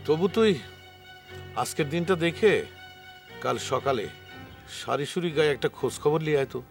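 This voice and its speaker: An actor playing an impatient, brooding village boss in a TV drama. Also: male voice, a little high, animated, moderate pace, creaky, soft background music. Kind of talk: Bengali TV comedy drama acting.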